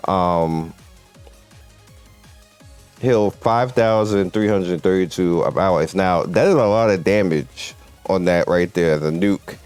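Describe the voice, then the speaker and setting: Low-pitched man commenting over game music. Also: darkish tone, clear, resonant tone. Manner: rambling, conversational. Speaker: gaming video host. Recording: game music playing behind the voice. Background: video game music.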